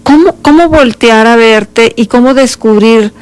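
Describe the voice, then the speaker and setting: A woman's voice: on the high side, a little slow, clean tone. A woman with a Mexican Spanish accent interviewing in a thoughtful, appreciative, conversational way.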